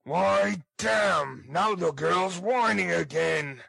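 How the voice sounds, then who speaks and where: Savage, ill-tempered criminal played by a male reader, barking and growling in a storybook, pushed up and forceful. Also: fairly high pitch, slow pace, gravelly, no room sound, pitched above the performer's own voice, full character voice. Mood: gruff, scornful. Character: brutal, ill-tempered housebreaker, savage and impatient man.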